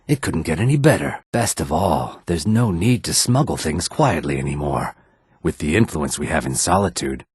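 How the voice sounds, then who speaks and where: Shady lizard merchant for a game, real deep n raspy, wary, sly, slick talker. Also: male voice, no echo, clean studio sound, exaggerated voice. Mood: pleased, salesmanlike. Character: shady male lizard-man fence, wary, sly, self-serving merchant. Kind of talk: scripted video game character dialogue.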